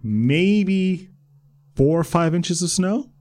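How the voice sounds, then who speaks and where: A man's voice: high-pitched, lively intonation, drawn-out words, a little mellow. A young man from Croatia co-hosting a casual podcast, rambling with lots of restarts and easy laughter. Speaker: podcast co-host. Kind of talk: casual chat.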